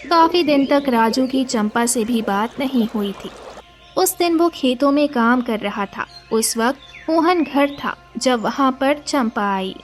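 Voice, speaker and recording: A woman's voice: high-pitched, expressive, slow, faint music behind. A woman giving scripted narration of a moral story as its storyteller.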